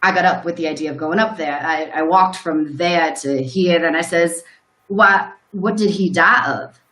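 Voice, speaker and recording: A woman playing a plain rural farmer in a live radio play, telling a grim story in a halting, folksy way. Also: lowish voice, a bit bright, close to an everyday voice, rough recording. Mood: uneasy recounting.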